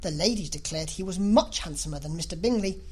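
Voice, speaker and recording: A woman's voice: fairly low pitch, a little fast, a little mellow, slightly rough. A woman reading a classic novel as an audiobook narrator, in a scripted literary style.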